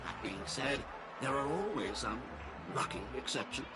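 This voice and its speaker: A man's voice: mid-range voice, very animated, normal speed, lightly acted. A man playing a slick, theatrical salesman in a game, delivering showy, wheedling sales patter. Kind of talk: scripted game dialogue. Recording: loud music behind the voice.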